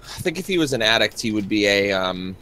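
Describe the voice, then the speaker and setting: A man's voice: lowish voice, sing-song, a little fast, sharp tone. A man talking casually as co-host of a live roleplaying stream, discussing a game character and chatting with viewers.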